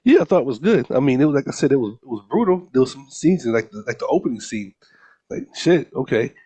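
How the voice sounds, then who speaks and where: Male podcast co-host, medium-pitched, conversational and slangy, casual film talk with friends. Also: sing-song, fast, dark tone, husky.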